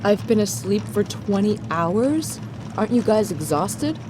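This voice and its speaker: A woman's voice: mid-range voice, big pitch swings, unhurried, background noise. An actress playing a determined, secretive young woman in an audio drama. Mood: groggy. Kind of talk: full-cast audio drama acting. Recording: music behind the voice.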